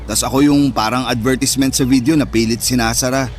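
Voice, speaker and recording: A man's voice: medium pitch, steady tone, fast talker, close to an everyday voice. A man with a Filipino accent playing a sensitive, neglected older brother in an earnest, emotive radio drama style. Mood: hurt, resentful. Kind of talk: Tagalog radio drama acting. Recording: music under the voice.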